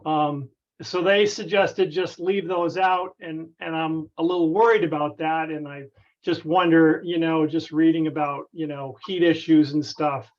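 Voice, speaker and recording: A man on a conference call casually explaining a technical problem in a halting, tentative way. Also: on the high side, steady tone. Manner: halting, tentative, circles back. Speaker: club member asking a technical question. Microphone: conference call connection. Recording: dull, band-limited conference call audio.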